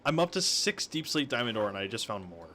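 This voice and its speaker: Bright-voiced, animated man gaming. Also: mid-range voice. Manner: chatty, jokey, quick to chuckle. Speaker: gamer playing Minecraft with friends. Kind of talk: casual group game chat. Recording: noisy gaming voice chat.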